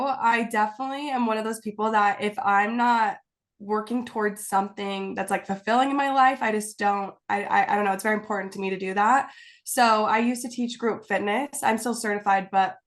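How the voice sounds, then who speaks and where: A woman's voice: mid-range voice, brisk. A woman guest on a video call, slightly stuffy from a cold, talking in a bubbly, giggly, rambling way full of "like"s. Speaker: guest, content creator. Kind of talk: casual video-call interview. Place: home, indoors.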